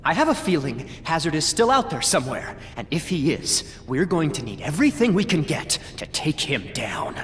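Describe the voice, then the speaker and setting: A menacing, exasperated corporate boss villain from a video game. His fairly high voice swings widely as he gives scornful commands in a long reverb over a faint rumble. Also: sing-song, normal speed, hardly any pauses. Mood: commanding.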